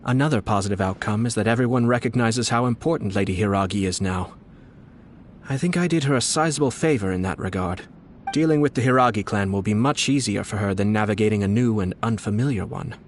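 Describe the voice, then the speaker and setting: An actor playing a composed, shrewd young nobleman in a game, courteous and measured, with polished formal speech and a quietly scheming, teasing air. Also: on the low side, slight background noise, close to an everyday voice. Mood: calm, calculating. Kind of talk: scripted game dialogue.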